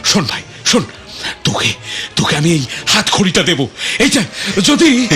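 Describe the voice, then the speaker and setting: High, desperate, frightened captive. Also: male voice, big pitch swings, measured pace, soft background music, exaggerated voice. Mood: urgent. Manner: agitated, stammering repetitions, swinging from gasps to shouts. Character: desperate, frightened male captive pleading to escape. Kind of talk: radio drama acting.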